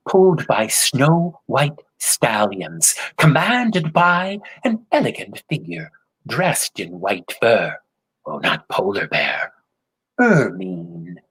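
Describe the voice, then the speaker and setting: Husky guy reading a fairy tale slow, voice jumping up and down a lot, silly sound effects. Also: lowish voice, poor audio. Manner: lively, playful asides and sound effects. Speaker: storyteller.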